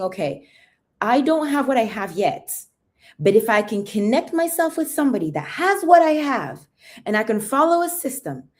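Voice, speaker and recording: A woman's voice: a little high, darkish tone. A young woman with an American accent, raised in the UK, hosting a casual sales livestream, chatting, greeting viewers and often asking "right?". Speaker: livestream host.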